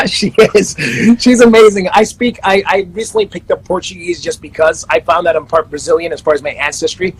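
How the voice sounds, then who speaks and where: A man's voice: high-pitched, fairly even intonation, normal speed, slight background noise, rough recording. A man in his thirties, a life coach, chatting upbeat in an interview over a video call. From Panama and the Netherlands. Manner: upbeat, self-promoting, many restarts. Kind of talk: casual interview chat.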